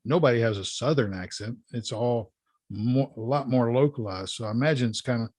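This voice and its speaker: A man's voice: medium pitch, brisk, darkish tone, dry recording, studio quality. A man with a Florida accent hosting a podcast, folksy and plainspoken in casual talk. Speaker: podcast host.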